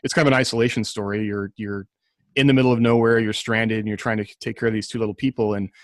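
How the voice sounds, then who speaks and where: A man speaking as an author on a casual discussion panel, reflective and halting as he thinks aloud. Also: fairly low pitch, fairly even intonation, no echo, studio quality.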